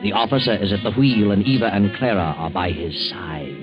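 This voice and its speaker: An actor playing a knowing, ominous storyteller who narrates old radio suspense in a dramatic, insinuating way. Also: male voice, lowish voice, a little fast, close to an everyday voice. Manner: dramatic, insinuating rhetorical questions. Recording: dull 1940s radio recording with music.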